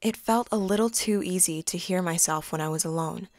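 A woman's voice: lowish voice, fairly quick, darkish tone, no room sound, lightly acted. A young woman playing a lonely, wry student who narrates an introspective audio drama. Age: young adult. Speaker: lead and narrator.

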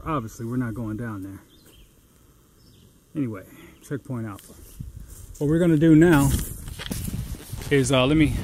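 A middle-aged man on a long-distance hike, talking casually and upbeat to a handheld camera outdoors, thinking aloud with fillers. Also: mid-range voice. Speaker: thru-hiker vlogger. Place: outdoors on a forest trail and in a small town. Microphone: handheld camera at arm's length. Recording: outdoor camera audio with background noise.

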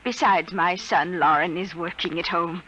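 A woman's voice: fairly low pitch, steady tone, normal speed, fairly bright tone, lightly acted. An actress playing a genteel, insistent old grandmother with a sinister side in a 1950s radio drama. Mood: sweetly insistent. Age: older. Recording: muffled, noisy old radio recording.

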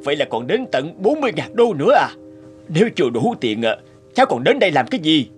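A man voicing a stern middle-aged wealthy creditor in an animated moral tale, grave and deliberate. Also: fairly high pitch. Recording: music under the dialogue.

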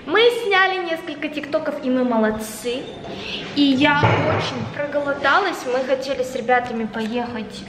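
A young woman chatting casually to a handheld camera in a chilly house, bubbly and talkative. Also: echoey room. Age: young adult. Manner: chatty, bubbly, quick shifts into excited high tones. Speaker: vlogger. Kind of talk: casual vlog chat to camera. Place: chilly house living room, indoors. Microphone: handheld camera at arm's length. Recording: noisy home camera audio.

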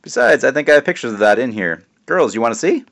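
A man playing a booming, joking dad. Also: sing-song, fast talker, vocal fry, fairly bright tone. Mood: enthusiastic. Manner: booming, theatrical, teasing. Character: loud, joking, embarrassing middle-aged dad.

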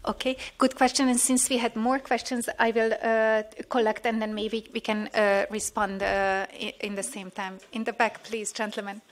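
A woman's voice: on the high side, level tone, moderate pace, few pauses. Polite woman moderating a conference. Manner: polite, with many fillers when summarizing. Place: large conference hall. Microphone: desk microphone.

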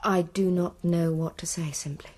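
An actress playing a guarded, quietly resolute young Victorian woman in a radio drama, with an English accent and a hushed, measured delivery. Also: lowish voice, steady tone, natural voice. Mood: hesitant, hushed. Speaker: actor. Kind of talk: broadcast radio drama acting.

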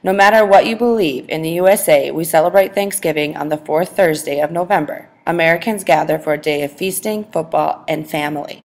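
A woman's voice: low-pitched, a little fast, hardly any pauses, a little mellow. A woman reading a school presentation aloud over a video call, stressing each food word. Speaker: student presenter.

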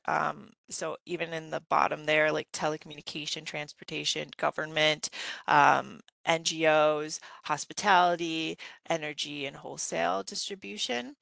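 Woman, webinar presenter, slide walkthrough, gravelly edge, bright tone, dry close sound, chatty with fillers. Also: sits low, no room sound. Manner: conversational, many um fillers. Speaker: graduate program presenter.

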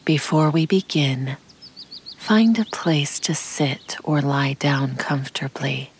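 Slow, low, breathy meditation woman. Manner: calm, soothing, measured. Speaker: guided meditation narrator. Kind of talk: slow scripted guided meditation narration. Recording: birdsong and forest ambience behind. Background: birdsong, insects, nature ambience.

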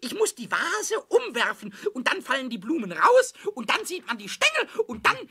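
An actor playing a small, mischievous male kobold in a children's radio play, excitable and impatient, stammering and exclaiming. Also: high voice, normal speed, breathy edge, exaggerated voice. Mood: excited.

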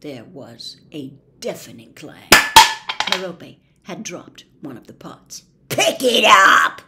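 Older woman reading a story, very animated. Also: low voice, slow pace, heavy background noise. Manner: theatrical, animated. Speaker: story reader, host. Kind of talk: expressive storybook narration. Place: small home room with bookshelves.

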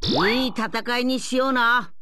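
A woman voicing an eager, boyish, rustic hero with a slightly rough edge in an anime fighting game. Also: fairly high pitch, slow. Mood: cheerful, challenging. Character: eager, boyish martial-arts alien hero, rustic and cheerful. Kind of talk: anime game battle lines. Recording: loud game effects and noise.

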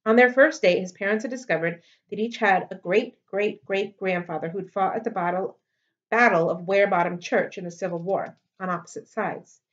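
A woman in her thirties reading a children's novel aloud at home into a webcam, in steady storybook narration. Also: mid-range voice, normal speed, dull audio. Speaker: library read-aloud host. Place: living room at home. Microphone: webcam on a desk.

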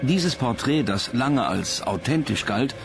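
A man's voice: medium pitch, lively intonation, measured pace, creaky, over music. A man reading scripted documentary narration.

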